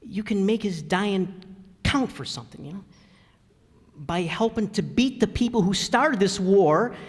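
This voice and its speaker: An actor playing a plain-spoken, good-hearted middle-aged family man in an old-time radio show, talking in a halting, folksy, ungrammatical way. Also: sits high, lively intonation, breathy edge, full character voice. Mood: earnest, gentle. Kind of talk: old-time radio comedy-drama acting. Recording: reverberant hall stage recording.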